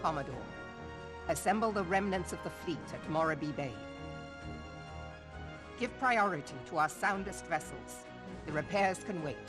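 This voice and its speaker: An actress playing a stern, pragmatic, decisive admiral in a video game, speaking with authority in formal archaic phrases. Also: female voice, fairly low pitch, expressive, moderate pace, pauses often, over music, close to an everyday voice. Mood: commanding. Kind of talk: scripted video game cutscene acting.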